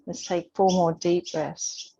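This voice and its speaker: A woman teaching a yoga class, giving soothing, unhurried guidance with pauses between instructions. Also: fairly low pitch, little pitch movement, gravelly, dark tone, slightly rough, dry recording. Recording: dull, muffled lo-fi sound.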